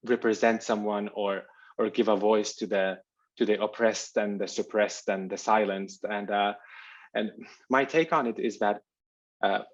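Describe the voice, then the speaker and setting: A man from Finland, his Albanian, speaking as an author guest in a thoughtful, halting interview conversation over a video call. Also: on the low side, lo-fi sound. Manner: halting, reflective, searching for words.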